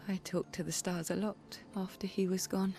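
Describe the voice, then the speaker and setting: A woman voicing a quiet, wistful young woman in a video game cutscene, soft and reflective as she confides. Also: mid-range voice, level tone, moderate pace, lightly acted. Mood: wistful, tender. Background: music.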